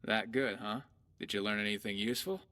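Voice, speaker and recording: Man playing a wry, low-voiced pirate adventurer. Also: steady tone, brisk, pushed and bright, dry recording. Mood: wry, concerned. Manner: dry, sardonic. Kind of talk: scripted fantasy game dialogue.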